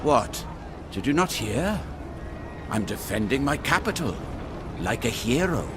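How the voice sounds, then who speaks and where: A man's voice: medium pitch, big pitch swings, unhurried. A man playing a self-important, world-weary king under siege in a video game, bombastic and wry with swooping emphasis. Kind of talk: dramatic video game acting. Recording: noisy background ambience.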